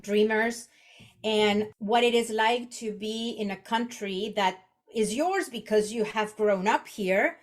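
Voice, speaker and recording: Mexican-accented woman, halting and conversational. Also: a little high. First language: Spanish. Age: 40s. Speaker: podcast guest, executive coach. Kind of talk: conversational interview, personal reflection.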